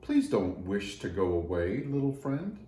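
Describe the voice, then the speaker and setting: A man's voice: lowish voice, huge pitch swings, unhurried, mellow. A man voicing friendly little squirrels who comfort a friend in a children's storybook. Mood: pleading, encouraging. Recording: roomy echo, faint noise.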